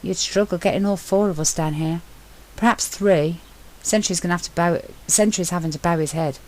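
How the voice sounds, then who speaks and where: A woman reading a story aloud and voicing a calm young man, speaking in a matter-of-fact way. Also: fairly low pitch, normal speed, natural voice, poor audio.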